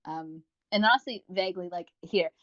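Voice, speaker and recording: A man's voice: high voice, moderate pace, fairly bright tone, dull audio. A man co-hosting a podcast in casual chat, rambling and gushing about what he reviews, with self-mockery and casual swearing. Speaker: podcast co-host. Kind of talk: casual chat, reviewing audio roleplay series.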